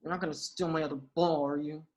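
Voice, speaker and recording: A woman's voice: low voice, steady tone, fast, mellow, close to an everyday voice. A woman playing an awkward, anxious and defensive young male spring breaker at a script table read.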